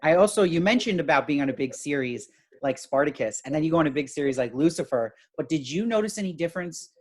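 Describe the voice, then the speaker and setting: A man's voice: a little high, animated. Upbeat male interview host. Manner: upbeat, enthusiastic, quick with praise. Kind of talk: casual interview chat.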